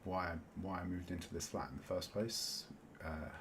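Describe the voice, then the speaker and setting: A man's voice: deep voice, fairly even intonation, moderate pace, background noise. A man with a South London accent chatting casually as he plays a game, rambling and often laughing. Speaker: Minecraft let's-play host. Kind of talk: casual chat while playing.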